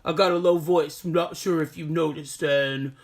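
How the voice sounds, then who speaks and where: Boastful little girl posing as a bass drum, pushing her voice down low and bragging, as a teacher acts her out. Fun classroom-skit energy. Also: fairly even intonation, normal speed.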